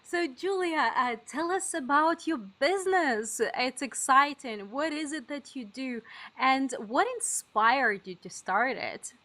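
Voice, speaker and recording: A woman's voice: high-pitched, expressive, slow pace, bright, forceful tone. A woman hosting a podcast interview, asking brief questions over a video call. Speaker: podcast host.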